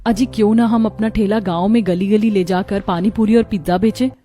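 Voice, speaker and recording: A woman's voice: medium pitch, steady tone, fairly quick, dark tone, lightly acted. A woman playing an earnest, cheerful young wife who works as a street vendor in a cartoon story. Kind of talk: animated moral story dialogue. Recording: music behind the voice.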